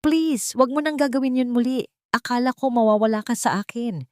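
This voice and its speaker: A woman's voice: a little high, fairly quick, a little mellow, no echo, clean studio sound, voice pushed up, close to an everyday voice. A woman voicing an anxious, devoted man in an audio drama, pleading with trembling emotion.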